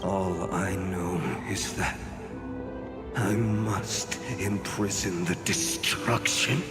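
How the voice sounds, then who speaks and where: A man voicing a grim, weary, burdened warrior in a video game, speaking in a halting, somber way. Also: deep voice, fairly even intonation. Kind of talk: dramatic video game voice acting. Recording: long hall reverb, music behind.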